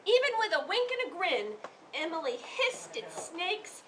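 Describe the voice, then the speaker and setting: A woman reading a children's story aloud in an expressive, theatrical way, pausing to engage her listeners. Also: extremely high pitch. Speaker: author reading to a group of children. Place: room with children responding. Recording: distant microphone, noisy room.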